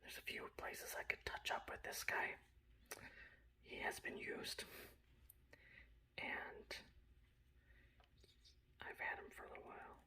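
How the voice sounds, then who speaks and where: A man's voice: takes it slowly, long pauses. Whispering man, casual ASMR show-and-tell. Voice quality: breathy whisper with soft low speech. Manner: casual, rambling, conversational. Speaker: hobbyist miniature painter presenting his collection.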